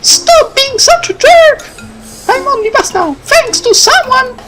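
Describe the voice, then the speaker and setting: A man's voice: extremely high pitch, expressive, measured pace, fairly bright tone, voice pushed up, exaggerated voice. A man voicing a moody teenage little sister in a whiny, pestering way, with music behind. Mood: indignant.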